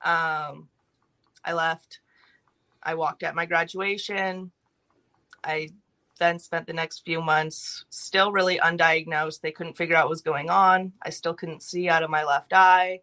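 Bright-toned young woman from California. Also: low voice, steady tone, a little slow. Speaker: podcast guest. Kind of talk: telling her life story in an interview.

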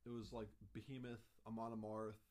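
A man's voice: fairly low pitch, level tone, brisk, darkish tone. A young man hosting a casual chat, telling a story in a chatty way full of "like" and restarts. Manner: chatty storytelling, heavy use of "like".

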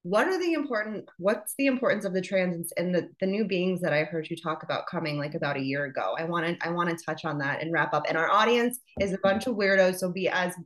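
A woman's voice: fairly low pitch, quick pace, few pauses, low-quality recording. A woman podcast host chatting casually and frankly, laughing often and swearing now and then.

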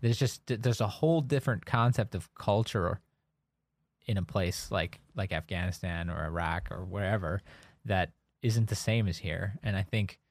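A man chatting casually, thinking aloud with halting, hedged phrases. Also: sits low, no echo, clean studio sound. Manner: halting, hedging with fillers. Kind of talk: casual chat.

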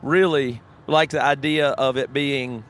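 A man's voice: mid-range voice, fairly even intonation, measured pace, fairly bright tone. A man co-hosting a podcast in casual chat, thoughtful and frank, restarting his sentences as he works through ideas, with an occasional curse. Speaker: podcast co-host.

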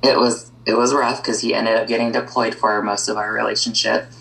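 A woman with an extremely low, gravelly voice chats as a podcast guest. She talks in an easygoing way, pausing on ums and likes as she thinks aloud. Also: level tone, fairly bright tone, low-quality recording. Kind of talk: casual conversation.

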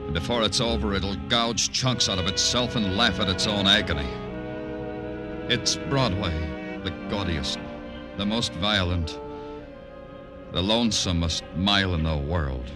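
Very deep, brooding noir detective. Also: male voice, loud music behind the voice. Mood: brooding, somber. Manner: terse, deliberate, brooding. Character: hard-boiled world-weary male police detective, narrating his case. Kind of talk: 1950s radio noir acting and narration.

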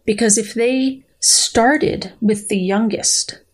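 A woman speaking as a biologist guest in an interview, with measured, precise, scientific phrasing. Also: a little high. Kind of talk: thoughtful conversational interview.

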